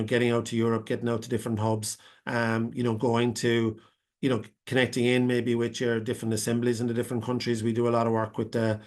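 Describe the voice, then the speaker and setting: An Irish man speaks over a video call, his pitch staying flat and level. He answers at length and wanders through his point with frequent restarts and fillers. It sounds like a live panel webinar. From Ireland. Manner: rambling, many restarts and fillers. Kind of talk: unscripted answer to a question.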